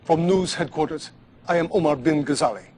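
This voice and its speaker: An actor playing a solemn, boastful propagandist war reporter in a game, declaiming a scripted TV news report. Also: male voice, fairly high pitch, fairly quick, crowd noise, natural voice. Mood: defiant, proud.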